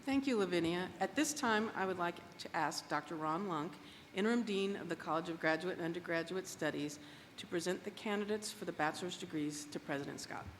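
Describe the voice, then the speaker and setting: A woman's voice: on the low side, a little fast, few pauses. A woman acting as ceremony emcee, making formal announcements at a graduation. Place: large event hall. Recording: distant mic, long hall reverb, faint noise.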